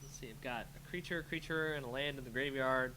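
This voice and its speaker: A man's voice: mid-range voice, fast talker, pushed and bright, slight background noise, low-quality recording. A man giving casual live commentary on a game he is playing, talking to himself playfully as he thinks. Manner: muttering to himself, self-talking, playful sing-song filler. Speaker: host of a card game channel, playing.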